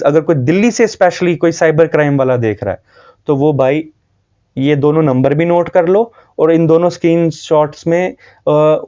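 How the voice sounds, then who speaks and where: A man's voice: a little high, mellow, dull audio. A man from Jammu with a North Indian accent, earnestly explaining and warning viewers in an informal way, repeating himself for emphasis. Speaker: video host.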